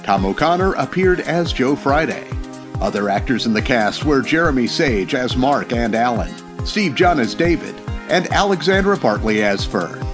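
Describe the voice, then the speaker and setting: A man's voice: mid-range voice, expressive, moderate pace. Male radio drama announcer over music.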